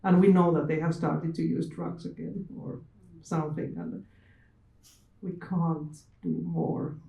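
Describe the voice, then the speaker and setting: A man with non-native English reflects on a panel at an unhurried pace. His voice is high and soft-toned, picked up from a distance in an echoey room. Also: little pitch movement, gravelly, lo-fi sound. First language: not English. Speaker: panel speaker.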